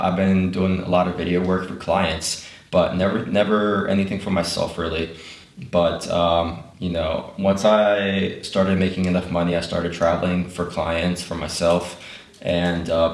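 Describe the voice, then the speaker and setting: A young man, a native Russian speaker, talks through his story as a podcast guest, loose and unhurried with plenty of "uh"s and restarts. His voice sits very low and stays flat, with little rise and fall. The room around him gives it a roomy, echoing sound. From Ukraine. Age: 20s. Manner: relaxed, rambling with fillers and restarts. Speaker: guest, travel YouTuber. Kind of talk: casual conversational interview.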